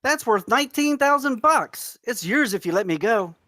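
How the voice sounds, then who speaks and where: A man's voice: very high-pitched, expressive, normal speed, pushed and bright, no room sound, studio quality, full character voice. A man at a screenplay table read, acting out crime thriller characters in a hostage scene. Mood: pleading. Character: armed male henchman and his bargaining captive.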